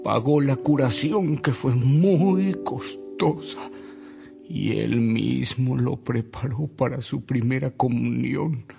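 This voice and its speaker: Radio drama: devoted, tired reminiscer, solemn and deliberate, creaky voice. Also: male voice, medium pitch, mellow. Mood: fond, narrating. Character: don Alberto, tired devoted man reminiscing sadly. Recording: music behind the voice.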